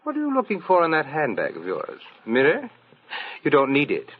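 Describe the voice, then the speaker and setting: Vain, sardonic stage actor, animated. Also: male voice, fairly high pitch, moderate pace, breathy edge, full character voice. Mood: mocking, smooth. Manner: condescending, suave, mocking. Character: vain, sardonic middle-aged Broadway actor. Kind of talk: 1940s radio drama acting. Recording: muffled, noisy vintage radio recording.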